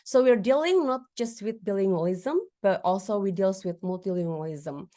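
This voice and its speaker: A woman's voice: mid-range voice, moderate pace. A woman lecturer with an Indonesian accent, whose first language is a regional Indonesian one, giving a webinar presentation over a video call, with fillers, restarts and questions to the audience. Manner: lively, frequent fillers and restarts, addresses audience with tag questions.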